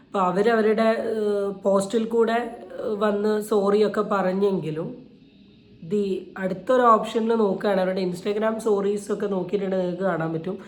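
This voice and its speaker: A woman giving casual, opinionated reaction commentary, explaining her points and mixing in English words. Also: medium pitch. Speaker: video commentator. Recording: echoey, roomy sound.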